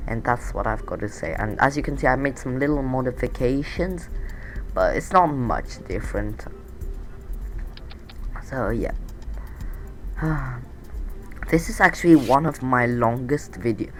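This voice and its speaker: A man's voice: mid-range voice, lively intonation, a little slow, pauses often, soft background music. A man with a sore throat and a nasal voice, rambling through casual game commentary with many fillers and restarts. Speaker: gameplay commentator.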